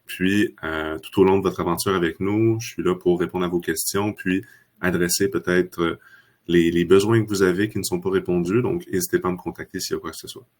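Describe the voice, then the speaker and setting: A man, a customer success manager calmly explaining a platform during a webinar. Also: low-pitched, a little fast. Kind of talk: webinar presentation.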